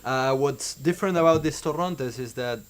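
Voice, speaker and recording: A young man with an Argentine accent, a winemaker answering interview questions in a measured way, with fillers and restarts. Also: medium pitch. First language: Spanish. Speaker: winemaker guest.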